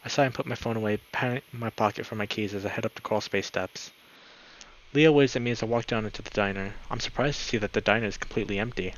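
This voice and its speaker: A man's voice: fairly low pitch, fast talker. A man narrating a visual novel aloud for a let's play, occasionally stumbling and correcting himself. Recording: slightly dull mic, music behind. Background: clear game music.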